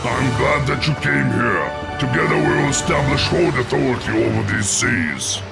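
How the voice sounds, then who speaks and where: Proud fierce orc commander, super gravelly, speechy, loud music under it. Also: male voice, medium pitch, animated, normal speed. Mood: triumphant. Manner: declamatory, formal. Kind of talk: fantasy game cutscene acting.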